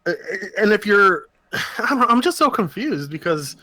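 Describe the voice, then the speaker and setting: A man's voice: sits high, big pitch swings, normal speed, sharp tone. A man from Oregon co-hosting a casual podcast chat, rambling and restarting his sentences with frequent laughs. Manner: rambling, self-interrupting, laughs a lot. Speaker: podcast co-host.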